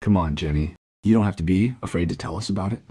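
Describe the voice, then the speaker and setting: Guy playing a cop in an audio drama, low raspy voice, patient but keeps pressing. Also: no echo, clean studio sound. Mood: patient, probing. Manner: measured, gently insistent questioning. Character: patient, probing adult male police detective.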